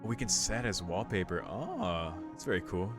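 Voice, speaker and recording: A man's voice: deep voice, expressive, moderate pace, over music. A man giving casual, laid-back commentary as he plays through a game, murmuring short reactions. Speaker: video game streamer.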